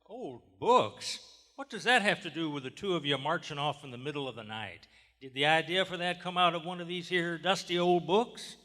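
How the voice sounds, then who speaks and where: A gruff lawman acted by a man badgers in folksy, blunt style. His voice is hard-edged and forceful, ringing in a large hall during a live radio drama. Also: on the high side, moderate pace. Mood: exasperated, skeptical. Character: gruff, skeptical Southern small-town lawman interrogating a suspect. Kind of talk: live radio horror theater acting. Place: large theater stage, live performance. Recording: live stage in echoing theater hall.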